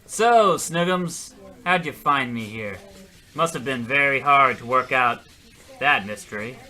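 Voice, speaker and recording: An actor playing a self-dramatizing, attention-hungry loser in a comic radio play, rambling and melodramatic. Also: male voice, mid-range voice, lively intonation, measured pace, bright, forceful tone. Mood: hammy, eager. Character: attention-hungry, self-dramatizing male loser telling his story in a diner. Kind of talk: comic radio play acting in old radio show vein.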